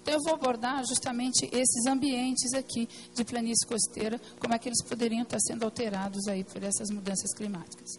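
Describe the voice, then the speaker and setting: A woman with a hoarse, partly lost voice, giving a hesitant technical presentation at a council meeting, full of fillers and restarts. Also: fairly high pitch, steady tone, fairly quick, few pauses, low-quality recording. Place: meeting room at a plenary session.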